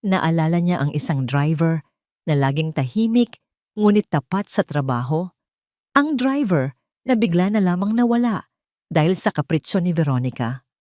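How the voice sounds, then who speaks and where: A woman with a Filipino accent narrating a scripted dramatic story in a solemn, measured way. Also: lowish voice, lively intonation, a little mellow, no room sound, studio quality.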